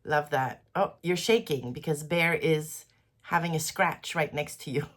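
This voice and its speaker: A low-pitched woman talking through a head cold, her voice stuffy and congested. She chats casually and softly in a rambling, everyday vlog manner. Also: lively intonation, unhurried. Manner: chatty, rambling, soft-spoken. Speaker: quilting vlogger. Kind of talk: casual daily vlog chat.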